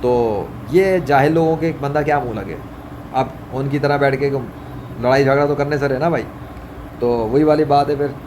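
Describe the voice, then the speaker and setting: A man's voice: mid-range voice, moderate pace. A man delivering an informal religious monologue in an indignant, scolding way, repeating phrases for emphasis. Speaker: religious vlogger. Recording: clearly noisy, ambient background hum. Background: ambient noise, running fan.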